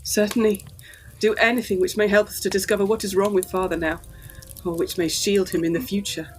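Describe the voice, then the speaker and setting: A woman's voice: mid-range voice, little pitch movement, fairly quick, a little hiss or hum, close to an everyday voice, low-quality recording. A woman playing an anxious, well-bred young Edwardian daughter, polite and earnest, in a gothic audio drama.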